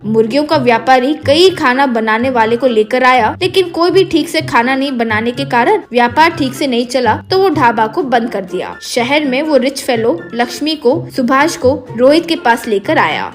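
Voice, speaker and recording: A woman narrates a scripted tale for children. Her voice is high-pitched, and light music plays behind her. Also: lively intonation, moderate pace, few pauses.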